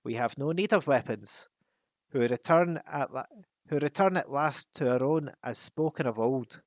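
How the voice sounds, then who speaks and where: A man voicing a proud, solemn dwarf king who speaks in a loud, stately way. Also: mid-range voice, moderate pace, no echo, studio quality, lightly acted. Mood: commanding.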